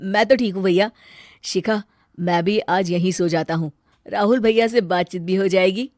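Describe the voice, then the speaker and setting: A woman voicing an earnest, excitable young husband in a cartoon story. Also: medium pitch, normal speed, no room sound, studio quality, voice pushed down, close to an everyday voice. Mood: cheerful. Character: earnest, trusting young husband, easily alarmed. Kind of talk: Hindi cartoon story dialogue.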